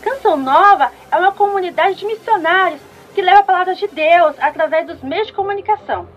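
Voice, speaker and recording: A woman's voice: very high voice, fairly quick, lo-fi sound. An actress playing a chatty, eager churchgoing village woman with a Brazilian accent and a folksy, teasing manner in a radio soap opera. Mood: teasing, explaining.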